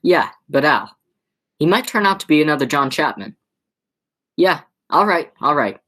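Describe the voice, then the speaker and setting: A teenage girl playing a flustered, exasperated man in a school radio play, stammering and halting as she speaks. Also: low voice, big pitch swings, fairly bright tone, full character voice. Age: teens. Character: flustered, exasperated male theater critic and nephew.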